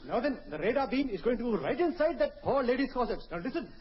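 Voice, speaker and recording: High-voiced pompous radio scientist. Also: male voice, big pitch swings, quick pace, a loud crowd. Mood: mock-serious. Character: pompous male scientist-official demonstrating absurd inventions. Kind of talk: old radio comedy sketch acting. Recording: old noisy dull radio recording, clicks.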